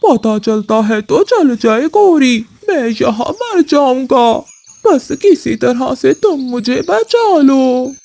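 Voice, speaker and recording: A woman's voice: sits high, lively intonation, slow pace, warm tone, full character voice. A woman voicing a greedy, whiny male crow in a children's cartoon, with a plaintive manner. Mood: pleading. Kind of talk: children's cartoon story acting.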